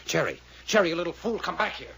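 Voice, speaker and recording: An actor playing a commanding leader of an adventure team who gives brisk orders, in 1940s radio drama style. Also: male voice, fairly high pitch, very animated, gravelly, crowd noise.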